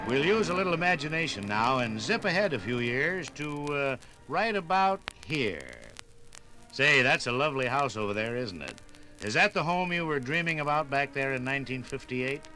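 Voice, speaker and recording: An American man giving a folksy 1950s radio sponsor pitch, chatting directly to the listener. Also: expressive, moderate pace, bright, forceful tone, faint music behind. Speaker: radio host delivering sponsor message. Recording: vintage 1950s radio LP recording.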